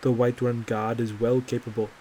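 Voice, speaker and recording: A man's voice: mid-range voice, steady tone, normal speed, a little mellow, slight background noise, lightly acted. A man voicing a bored male city guardsman who speaks in a rehearsed, diplomatic way. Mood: formal.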